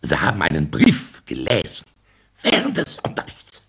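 An actor playing a pompous, irascible professor in a radio comedy, pedantic and halting, blustering when challenged. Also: male voice, fairly low pitch, wildly swooping pitch, creaky, fairly bright tone, exaggerated voice. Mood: stern, pedantic. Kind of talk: German radio comedy drama acting.

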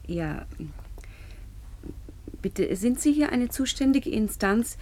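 A woman's voice: a little high, expressive, slow pace, noisy background. A young woman playing a naive, plucky poor girl in a radio play, speaking colloquially and earnestly. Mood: hesitant, earnest. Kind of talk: naturalistic radio drama dialogue.

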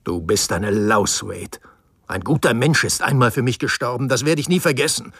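An actor playing a tormented, self-mocking alcoholic writer in a radio drama, theatrical and halting. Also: male voice, mid-range voice, a bit bright, breathy edge. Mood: bitter, drunken musing. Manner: theatrical, halting, swinging between murmur and outburst. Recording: music behind the voice.

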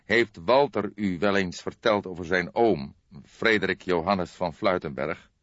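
A man voicing a grey-haired veteran police detective, kindly but insistent, questioning deliberately in an audiobook. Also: low-pitched, fairly bright tone, no room sound, highs cut off. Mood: insistent, intense. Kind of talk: audiobook character voice.

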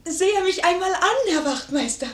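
An actress playing a pert, frank, warm-hearted young lady's maid with coquettish delivery in a 1950s German radio play. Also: very high-pitched, normal speed. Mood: coy, eager. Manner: coquettish, lively. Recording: roomy 1950s radio studio recording.

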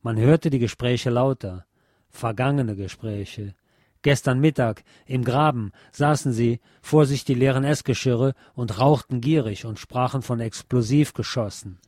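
A man's voice: medium pitch, a little slow, a little mellow, no echo, studio quality. A man reading literary prose aloud as an audiobook narrator, in scripted narration.

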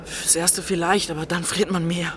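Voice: mid-range voice, level tone, moderate pace, background noise, close to an everyday voice. A performer playing a guarded, literal-minded boy of thirteen in a German radio drama, matter-of-fact and questioning.

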